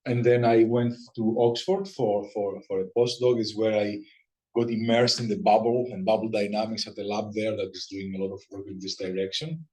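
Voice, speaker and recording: A man's voice: fairly low pitch, little pitch movement, normal speed, a little mellow, low-quality recording. Greek guy in his 40s giving a science seminar, chatty, keeps restarting his sentences. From Greece. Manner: frequent restarts and repeated words, conversational. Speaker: guest lecturer. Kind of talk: academic seminar talk.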